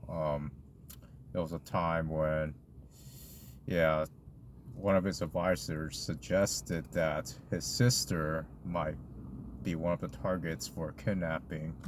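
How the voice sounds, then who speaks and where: A man's voice: deep voice, slight background noise. A man co-hosting a casual podcast, rambling with lots of fillers as he recaps a show. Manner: rambling, lots of likes and ums. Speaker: podcast co-host. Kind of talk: casual chat recapping a convention.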